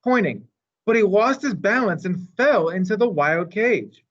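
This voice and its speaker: A man voicing a gentle, wise old female elephant who tells stories in a measured, thoughtful way. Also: sits high, animated, highs cut off, pitched above the performer's own voice. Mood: earnest storytelling.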